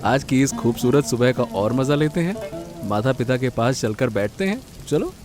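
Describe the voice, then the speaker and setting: A man playing an affectionate, eager young husband and son in a cartoon story, warm and enthusiastic. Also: medium pitch, lively intonation, moderate pace, faint music behind, natural voice.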